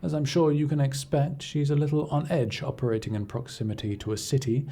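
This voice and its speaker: A man performs an imperious male scholar in service to an arcane patron, speaking straight to the listener in an audio drama. His voice is mellow and husky at a middling pitch. He is measured and formal, with a cool, detached condescension. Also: fairly even intonation. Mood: wry, weary. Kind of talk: scripted audio roleplay acting, addressing the listener.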